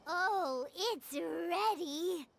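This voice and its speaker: A woman voicing a tiny, childlike fairy companion in a video game, perky and eager with a squeaky, chirpy delivery. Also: drawn-out words, gravelly, full character voice. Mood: cheerful. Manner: chirpy, speaks of self in third person. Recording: loud children's game music behind.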